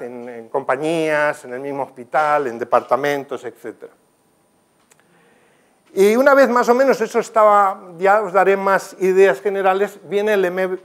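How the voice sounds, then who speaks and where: An older man giving a talk with slides in a conference room, telling stories earnestly and gesturing as he speaks. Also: high-pitched. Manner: earnest, storytelling, animated gestures, some restarts. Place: conference room with projector screen.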